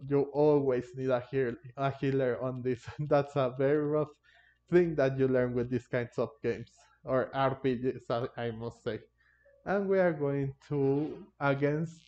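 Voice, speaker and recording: Male gaming host: casual, hesitant delivery, average pitch, muffled lo-fi mic. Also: darkish tone. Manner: halting, searching for words. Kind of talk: casual game commentary. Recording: dull, lo-fi microphone sound. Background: game music.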